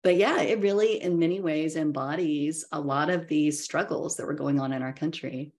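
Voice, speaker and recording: Female author guest, middle-aged, low-pitched, reflective and digressive, conversational video-call delivery. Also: normal speed, darkish tone. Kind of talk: conversational book discussion. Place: indoor home room, video call. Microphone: video call computer mic.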